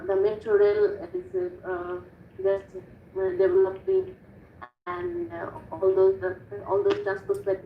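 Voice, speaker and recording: Woman on a video call, very slow and hesitant, lots of ums, dull echoey audio with a little hiss. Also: mid-range voice. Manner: hesitant, many fillers and restarts. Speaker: IELTS student, mother of two. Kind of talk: practice speaking test answers.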